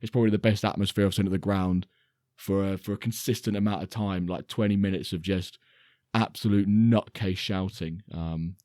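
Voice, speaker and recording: A man co-hosting a podcast, his voice slightly croaky, telling a match story in an animated way. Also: low voice, normal speed, no echo, clean studio sound. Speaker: podcast co-host. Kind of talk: casual football chat.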